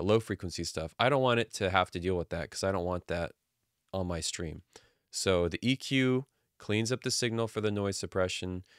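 A man in his thirties casually walking through his microphone filter settings from a small quiet home studio, relaxed and jokey. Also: fairly low pitch, no room sound. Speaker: streaming audio tutorial host. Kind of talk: casual screen-share tutorial. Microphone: studio dynamic mic on boom arm.

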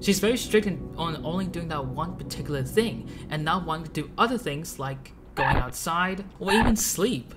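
A video essay narrator with a mid-pitched voice speaks over loud background music. The delivery is scripted narration, walking the listener through a game. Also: lively intonation, normal speed, hardly any pauses.

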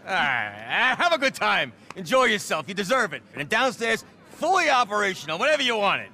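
Flamboyant, animated nightclub manager. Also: male voice, sits high, normal speed, slight background noise, full character voice. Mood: exuberant. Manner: theatrical, gushing. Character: flamboyant, exuberant male nightclub manager, party-loving smooth talker. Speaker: club manager. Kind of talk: video game cutscene acting.

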